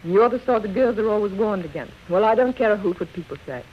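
Romantic, pleading teenage socialite, vintage radio drama, muffled recording. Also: female voice, mid-range voice, lively intonation, quick pace, slightly rough. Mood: earnest. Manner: romantic, earnest. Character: lovestruck, pleading society girl of nineteen. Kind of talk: 1930s-40s radio drama acting.

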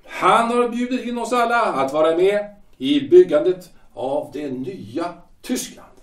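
A man playing a pompous shipyard director in a radio play, lecturing in a condescending, halting way. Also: high-pitched, animated. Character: pompous middle-aged male shipyard director, party loyalist. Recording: echoey room, distant mic.

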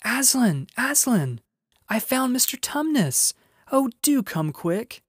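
A man's voice: extremely high pitch, sing-song, slow pace, husky, dry recording, studio quality, voice pushed up, full character voice. A man voicing a young, eager and polite little girl in a children's storybook, in an earnest manner. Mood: excited, urgent.

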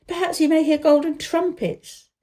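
A woman's voice: high voice, little pitch movement, normal speed, mellow, pitched above the performer's own voice, natural voice. A woman voicing an eager, wonder-struck young invalid boy who exclaims, in a children's storybook reading. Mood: excited, wondering. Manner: exclamatory, wide-eyed.

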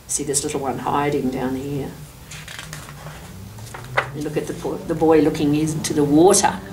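Older Australian woman reading to children, distantly miked. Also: mid-range voice. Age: 60s. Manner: addresses young children, explaining pictures and asking questions. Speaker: mayor reading a picture book to children. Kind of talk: children's storytime read-aloud with asides. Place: furnished office room indoors, seated. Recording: distant microphone, noticeable room background noise.

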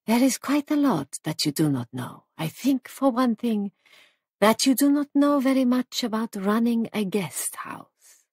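A woman voicing a sly elderly foreign man in a storybook, gleefully courteous, with theatrical, insinuating, drawn-out phrasing. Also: a little high, expressive, a little mellow, husky, dry recording, studio quality, voice pushed up, exaggerated voice.

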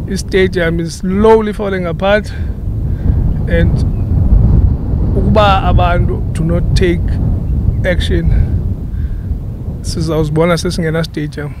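Man, South African accent, middle-aged, earnest and measured, mixes isiXhosa and English, outdoors, handheld mic, slightly high voice. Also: background noise. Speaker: local businessman making a public appeal. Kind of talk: on-camera interview statement. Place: outdoors at an old sports stadium. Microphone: handheld reporter microphone.

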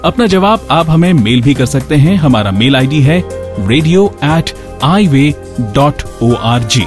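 A man hosting a radio show, reading scripted narration and announcements. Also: medium pitch.

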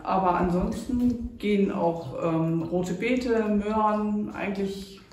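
A woman's voice: mid-range voice, takes it slowly, darkish tone, far from the mic. Woman, middle-aged juice maker, casual interviewee, echoey workroom sound with faint music behind. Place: small tiled juice-pressing workroom indoors. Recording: echoey tiled room, off-mic, faint music.

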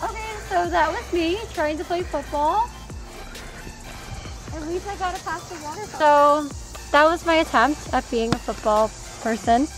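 High, slightly hoarse young woman vlogging. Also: moderate pace, rough recording. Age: young adult. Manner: giggly, filler-heavy, apologetic. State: slightly hoarse, losing her voice. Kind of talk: casual vlog talk to camera. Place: outdoor park playground. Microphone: handheld camera mic, sometimes far camera. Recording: outdoor camera audio under background music. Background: background music.

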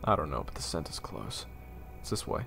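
Man acting lively young fantasy soldier, low and creaky. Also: a little fast, full character voice. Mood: earnest, curious. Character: Xander, curious, compassionate young male army private. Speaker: narrator and lead actor in an audio roleplay. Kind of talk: fantasy audio drama, first-person narration and dialogue. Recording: reverberant, with music underneath.

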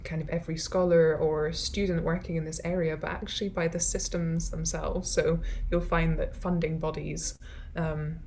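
Scottish female scholar, low register, conversational Q&A delivery, reflective and modest. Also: level tone, moderate pace, hardly any pauses, darkish tone, a little hiss or hum. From Scotland. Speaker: academic speaker, social science scholar. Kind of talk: conversational question-and-answer after an academic talk.

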